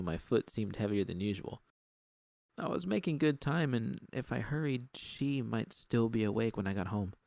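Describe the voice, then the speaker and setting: A man's voice: low voice, vocal fry, darkish tone, dry recording. A man reading a first-person story as an audiobook narrator, in a scripted literary style.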